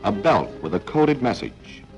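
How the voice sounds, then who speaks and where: A man narrating a 1940s training film in a dramatic, clipped style with deliberate pauses. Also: lowish voice, big pitch swings, a little mellow, low-quality recording. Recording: 1940s film soundtrack with faint music.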